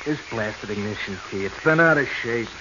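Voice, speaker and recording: An actor playing a lovesick, jealous treasure hunter in a 1940s radio drama, earnest and urgent. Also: male voice, on the low side, animated, moderate pace, natural voice. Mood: awkward, nervous. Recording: noisy, muffled 1940s radio recording.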